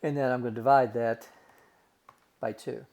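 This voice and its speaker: A man's voice: mid-range voice, steady tone, moderate pace, a little mellow. A man teaching a recorded math lesson, working through calculations step by step in a folksy way and calling his students guys and gang.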